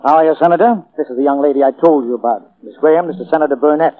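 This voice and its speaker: An actor playing a pushy, slick theatrical agent in an old-time radio drama, snappy and wisecracking. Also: male voice, a little high, rapid-fire, close to an everyday voice. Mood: brisk, businesslike. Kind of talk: 1940s radio acting. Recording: tinny radio recording, faint music.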